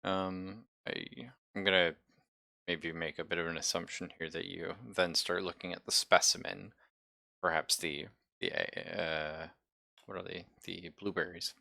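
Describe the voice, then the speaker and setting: A man narrating a tabletop sci-fi horror game as game master, halting as he thinks aloud. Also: low voice, little pitch movement, vocal fry, sharp tone, no room sound, clean studio sound.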